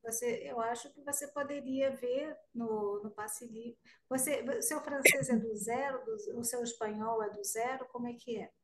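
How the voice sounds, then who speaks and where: A woman's voice: on the high side, fairly even intonation, normal speed. A Brazilian woman guest teacher in a live online class, answering student questions in a friendly, direct way. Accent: Brazilian Portuguese. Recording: roomy, distant-sounding mic.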